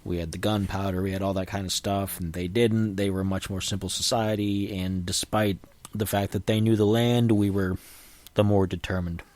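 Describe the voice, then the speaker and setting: A man's voice: low-pitched, level tone, normal speed, darkish tone, no room sound. A man hosting a casual solo podcast, sniffly and coughing from a cold, rambling with frequent restarts. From United States. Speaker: podcast host.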